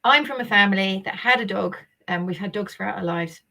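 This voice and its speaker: A woman's voice: medium pitch, a little fast, vocal fry, bright, forceful tone. Bubbly woman hosting a live chat. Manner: enthusiastic, bubbly and emphatic. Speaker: host. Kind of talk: live-streamed interview chat.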